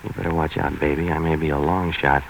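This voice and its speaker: An actor playing a hardboiled, sardonic waterfront troubleshooter who narrates his own 1940s radio noir in terse, deadpan wisecracks. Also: extremely low pitch, quick pace, gravelly. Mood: cool, tough. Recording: noisy 1940s radio recording.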